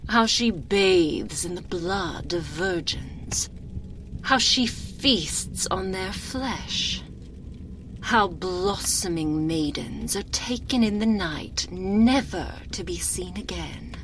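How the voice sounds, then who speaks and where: A woman playing an imperious, seductive, sinister vampire countess in an ASMR roleplay, haughty and velvety, drawing out her words and sinking into breathy whispers. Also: mid-range voice, fairly bright tone, slight background noise, poor audio. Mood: sly, menacing. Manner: haughty, velvety, drawn-out purring delivery with breathy whispered endings. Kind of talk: binaural ASMR roleplay, theatrical character acting. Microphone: close binaural microphone.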